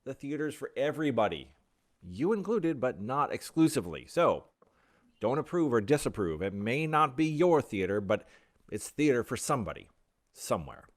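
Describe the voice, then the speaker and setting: An actor playing a cocky, impatient, opinionated theater director in a radio play. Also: male voice, medium pitch, big pitch swings. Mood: impassioned lecturing. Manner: brisk, opinionated, teasing.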